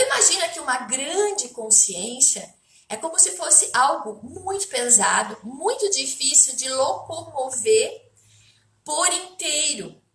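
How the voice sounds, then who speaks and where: A Brazilian woman speaking as a therapist on a livestream, explaining spiritual self-help ideas in a halting way, with rhetorical questions and check-in tags. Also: high-pitched, a bit bright, echoey room. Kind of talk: spiritual self-help talk to live viewers.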